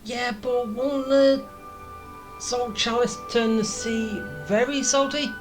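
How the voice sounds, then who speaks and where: A woman's voice: a little high, fairly even intonation, slow. A woman playing a video game and commenting casually, thinking aloud in a chatty way. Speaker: video game let's player. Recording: faint game music behind. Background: faint game music.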